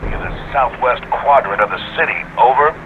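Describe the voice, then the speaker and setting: A man's voice: medium pitch, a little fast. A man playing an urgent, formal colonel over military radio in a video game, with a commanding delivery. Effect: military radio transmission. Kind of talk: dramatic video game cutscene acting. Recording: noisy, dull military radio.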